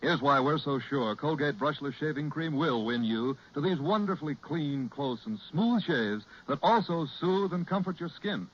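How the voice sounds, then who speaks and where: American radio announcer on muffled old recording. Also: male voice, fairly high pitch, animated, moderate pace, few pauses, slight background noise. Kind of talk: 1940s radio announcing and advertising.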